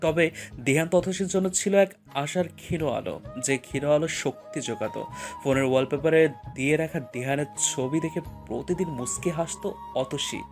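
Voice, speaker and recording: A man narrating romantic fiction as a scripted audio story, with expressive, emotional storytelling. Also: on the high side, normal speed, faint music behind.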